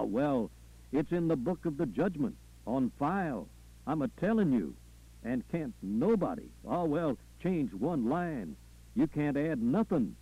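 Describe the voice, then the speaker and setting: A man's voice: fairly high pitch, lo-fi sound, pitched above the performer's own voice. A man performing a fervent preacher delivering a rhythmic, folksy call-and-response funeral sermon full of repetition. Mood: stern, emphatic. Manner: folksy, rhythmic repetition with punctuating "aha" and "ah, well".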